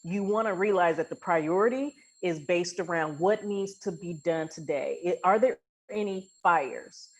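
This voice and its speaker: A woman podcast host with a slightly low voice. She talks casually in an affirming, explanatory manner, as if working through advice with a guest. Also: a little slow, rough recording. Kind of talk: casual interview conversation.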